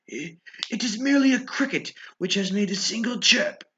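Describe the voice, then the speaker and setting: High, frightened old man. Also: a bit bright, highs cut off. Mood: fearful, self-soothing. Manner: timid, halting, stammering self-reassurance. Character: frightened, quavering old man comforting himself in gothic tale. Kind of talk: theatrical storybook horror reading.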